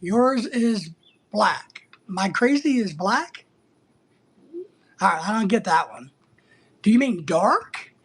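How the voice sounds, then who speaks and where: A livestream host from the United States with a husky voice, chatting casually and bluntly while teasing viewers and rambling through answers to their comments. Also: medium pitch, sing-song, slow, long pauses. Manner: blunt, teasing, sometimes breaking into playful exclamations.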